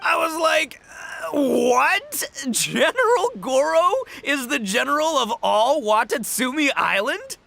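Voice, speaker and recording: An actor playing a brash, jovial, slow-witted young gang boss who talks in breezy slang in a video game. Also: male voice, extremely high pitch, big pitch swings, gravelly, sharp tone, exaggerated voice. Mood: explaining, dramatic. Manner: boisterous, slangy, dramatic. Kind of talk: video game cutscene acting.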